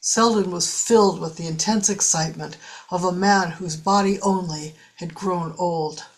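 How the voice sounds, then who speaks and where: A woman's voice: fairly low pitch, steady tone, normal speed. A woman reading scripted narration for a radio drama as its narrator.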